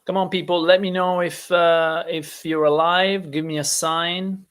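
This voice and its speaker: A man's voice: fairly high pitch, little pitch movement, a little slow, fairly bright tone, smooth. Chatty Italian man teaching live. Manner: chatty, hesitant, many fillers and restarts. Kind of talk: casual live-stream lesson.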